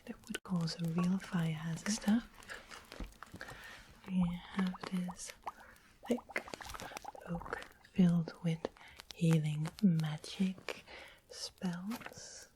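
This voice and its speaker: Woman doing a soft whispery witch for asmr fantasy roleplay, lowish, sweet but sneaky, noisy background. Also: steady tone. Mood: careful, explaining. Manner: gentle, unhurried, doting, quietly sinister. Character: sweet-smiling, sly witch of a fantasy land. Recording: close whisper with clear background noise, clicks.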